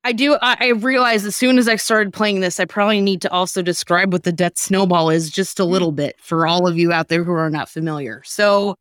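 A woman's voice: fairly low pitch, fairly even intonation, brisk, few pauses, no room sound, clean studio sound. A woman hosting a comedy podcast, explaining casually with nerdy enthusiasm and self-interruptions.

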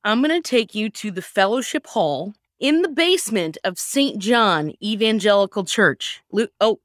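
A woman's voice: mid-range voice, expressive, normal speed, no room sound, studio quality. A woman podcast co-host chatting from home, joking at her own expense, laughing often and restarting her sentences. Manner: self-deprecating, laughing, rambling restarts. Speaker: podcast co-host and sketch writer. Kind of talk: casual chat.

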